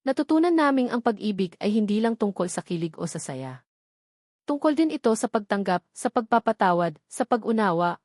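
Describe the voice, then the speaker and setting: A woman telling a first-person love story in a measured, earnest way, letting each line land with feeling. Her voice sits at an everyday pitch and sounds close and dry, with no echo around it. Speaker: narrator.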